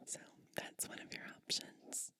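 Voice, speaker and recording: A woman whispering gently and attentively as a kindly witch in an ASMR bedtime roleplay, soothing the listener. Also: a little slow. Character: kindly witch preparing a guest for bed.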